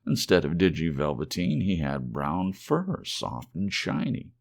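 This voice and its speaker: A man softly and expressively narrating a children's storybook as an ASMR audiobook. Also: sits low, wildly swooping pitch, a little slow, creaky.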